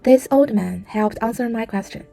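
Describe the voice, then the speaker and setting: A woman narrates a travel vlog in calm, scripted voice-over. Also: on the high side, normal speed, vocal fry, soft background music. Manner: calm storytelling.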